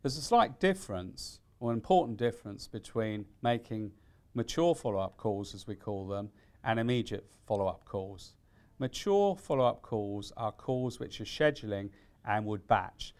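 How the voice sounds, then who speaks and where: A man giving an instructional presentation as a careers consultant, with deliberate, emphatic phrasing. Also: fairly low pitch, lively intonation, a little mellow.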